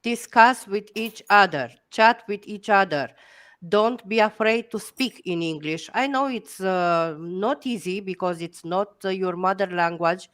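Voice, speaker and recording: A woman's voice: medium pitch, a little slow, fairly bright tone. A middle-aged woman from Romania with a Romanian accent, speaking English as a second language on a video call, hesitant with many fillers and encouraging. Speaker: guest educator. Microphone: headset.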